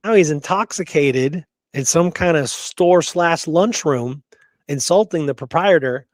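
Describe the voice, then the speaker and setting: A man's voice: on the high side, a little slow, dry recording. A man hosting a podcast in a casual discussion of history, musing aloud with rhetorical questions and repeated phrases, and freely giving his opinions. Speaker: podcast host.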